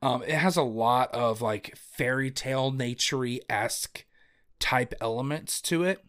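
Excitable man rambling through a podcast chat. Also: mid-range voice, a little slow. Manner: rambling, excitable, many fillers and restarts. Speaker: podcast co-host. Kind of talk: casual chat about tabletop games. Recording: lo-fi home audio.

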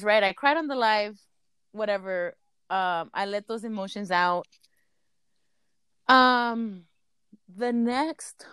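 A woman's voice: fairly high pitch, clean tone, no room sound. A woman co-hosting a podcast in casual chat, whose first language is Spanish, rambling nervously and stuttering now and then. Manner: rambling, nervous, laughs often. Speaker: podcast co-host.

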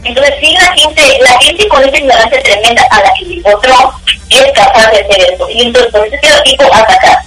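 A woman's voice: on the high side, lively intonation, fairly quick, a bit bright. A woman from Mexico with a Mexican accent, giving her opinions emphatically in a casual discussion as an interview guest. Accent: Mexican Spanish. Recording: roomy, distant phone-like call with music.

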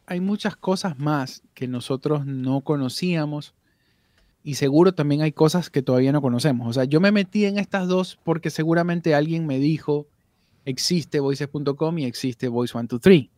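A man's voice: on the high side, normal speed, warm tone, dry recording. A man, a voice actor guest on a podcast, talking candidly over a video call with frequent restarts. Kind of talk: casual podcast interview.

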